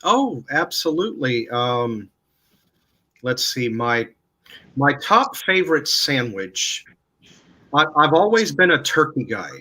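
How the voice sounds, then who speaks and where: A man's voice: medium pitch, sing-song, slow pace, long pauses, bright, forceful tone. A man in his forties co-hosting a casual chat, speaking hesitantly with many restarts. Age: 40s. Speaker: co-host. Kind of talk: casual live-stream chat.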